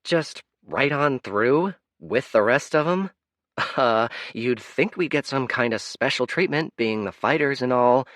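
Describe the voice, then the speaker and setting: A brash young woman fighter with a bright, raised, lively voice, as a male narrator puts her on. She talks loosely and casually. It is heard in a dry, close storybook recording. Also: mid-range voice, measured pace, no room sound, pitched above the performer's own voice. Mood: brash, breezy.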